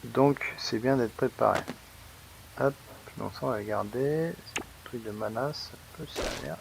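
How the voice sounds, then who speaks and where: A man's voice: medium pitch, a little slow, vocal fry, background noise. A man hosting a let's play, giving casual, rambling commentary full of hesitations and restarts as he plays.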